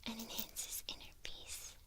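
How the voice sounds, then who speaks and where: A woman playing a kindly witch who gently instructs her apprentice in a breathy, unhurried ASMR whisper. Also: close to an everyday voice. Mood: gentle, instructive.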